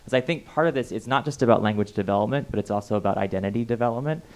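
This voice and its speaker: A man's voice: medium pitch, very fast. A man on a panel, a school educator talking earnestly and often repeating words as he thinks. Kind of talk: panel discussion.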